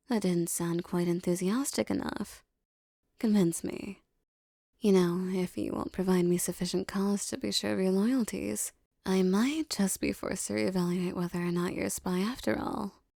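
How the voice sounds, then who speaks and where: Woman acting as a regal teasing empress, soft and breathy, really unhurried, condescending and possessive, intimate roleplay audio, close dry sound. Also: mid-range voice, no room sound. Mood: coaxing, testing. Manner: slow, soft, condescending coaxing, often breathy near-whisper.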